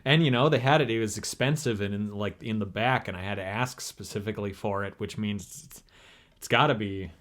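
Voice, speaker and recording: A man's voice: lowish voice, brisk, fairly bright tone. A young man co-hosting a casual video chat about games, joking and rambling with restarts. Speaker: co-host.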